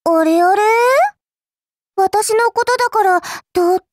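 A woman's voice: very high-pitched, measured pace, a little mellow, no echo, studio quality. A woman voicing a small, cute, sly girl spirit in an anime game, speaking politely with coy, smug teasing. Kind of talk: anime game character voice lines.

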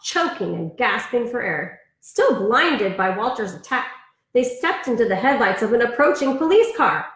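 Higher-voiced woman reading to children, roomy echo. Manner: expressive storytelling with chatty asides. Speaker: elementary school storyteller. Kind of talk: read-aloud storytime for children. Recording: roomy, echoing sound.

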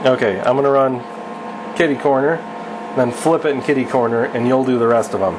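A man's voice: medium pitch, fairly quick, background noise. Man, 30s, joking, offhand, instructional, home garage, camera mic, humming background. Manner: joking, offhand, self-deprecating. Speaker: channel host teaching welding. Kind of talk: casual instructional chat. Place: home garage workshop, indoors.

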